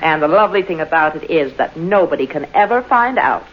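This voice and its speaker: Deep-voiced, smug scheming wife. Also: female voice, expressive, brisk. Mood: smug, scornful. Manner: self-satisfied, disdainful. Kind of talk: old-time radio drama acting. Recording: dull old radio recording, faint noise.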